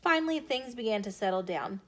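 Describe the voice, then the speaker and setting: An American woman reading a children's storybook aloud in an expressive, animated way as a storytime host. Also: fairly high pitch, brisk. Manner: animated, emphatic character dialogue.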